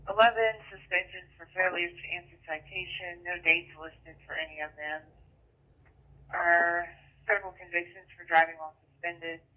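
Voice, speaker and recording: A woman with an American accent working as a police dispatcher, reading records and codes in clipped, procedural phrases over a police radio. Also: on the low side. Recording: tinny narrow-band police scanner radio.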